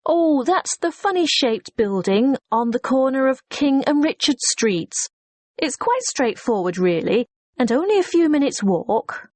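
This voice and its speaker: A woman's voice: high voice, very animated, measured pace, darkish tone, dry recording, heavily acted. A woman playing a courteous, efficient hotel receptionist in a scripted listening-test dialogue, formal and helpful.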